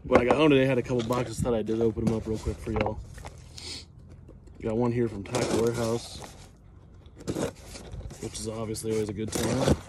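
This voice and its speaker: A man, hosting a casual fishing vlog, talking in a relaxed, rambling way with many fillers, recorded outdoors on a phone held at arm's length. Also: fairly low pitch, a little fast, pauses often. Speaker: fishing channel host. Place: outdoors in a driveway by a boat. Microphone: phone camera at arm's length. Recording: outdoor recording with noticeable noise.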